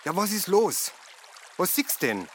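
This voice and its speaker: An actor playing a sceptical, curious brother in a radio play, speaking in a colloquial, questioning way, slightly off-mic. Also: male voice, sits high, very animated, unhurried, slight background noise. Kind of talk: naturalistic radio play dialogue.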